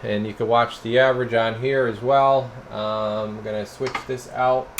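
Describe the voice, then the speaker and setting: A man's voice: mid-range voice, steady tone, moderate pace, background noise. A man giving a casual hands-on product demo in an informal, rambling way, talking to viewers as guys. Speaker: radio repairer and product reviewer.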